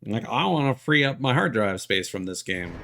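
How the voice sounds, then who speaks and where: A man's voice: mid-range voice, expressive, normal speed, vocal fry, fairly bright tone. A man streaming a video game with casual, reactive commentary that rambles and breaks into exclamations. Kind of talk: casual live gameplay commentary. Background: game music and sound effects.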